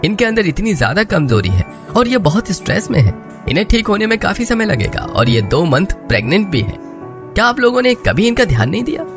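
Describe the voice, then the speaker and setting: A man's voice: high voice, big pitch swings, brisk, voice pushed up. A man voicing a stern, concerned doctor in a Hindi moral-story cartoon, over prominent music. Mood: concerned, reproachful.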